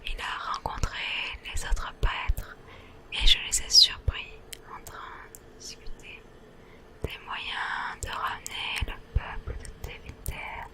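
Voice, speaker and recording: A woman speaks entirely in a hushed whisper, taking her time over every phrase. Her delivery is gentle and slow, in the style of an ASMR host guiding listeners through a game. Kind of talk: ASMR whispered game commentary and read-aloud.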